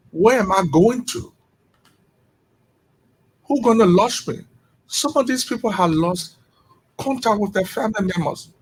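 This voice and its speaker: Middle-aged Liberian man, high-ish husky voice, impassioned radio guest. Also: low-quality recording. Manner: impassioned, rising into emphatic rhetorical questions. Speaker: executive director of a returnee network.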